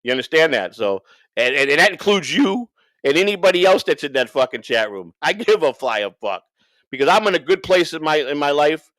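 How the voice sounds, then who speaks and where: Man, fairly high voice, livestream co-host, rambling, profane, repetitive, very dry sound. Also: big pitch swings, fairly quick, a bit bright, no echo. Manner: profane, rambling, repeats himself for emphasis. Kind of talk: unscripted livestream rant and banter.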